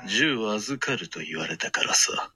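A man's voice: mid-range voice, unhurried, bright, forceful tone. A man playing a guarded, proud gunman in a Japanese voice drama, with brooding, clipped dramatic delivery. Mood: tense, confident. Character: guarded, proud male gunman, US military background. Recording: echoey reverb with music underneath.

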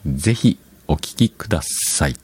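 A man's voice: extremely low pitch, animated, unhurried, a little mellow. A man hosting a casual solo talk show, chatting in short, conversational phrases. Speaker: host of an audio talk show. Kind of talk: casual solo radio-style chat.

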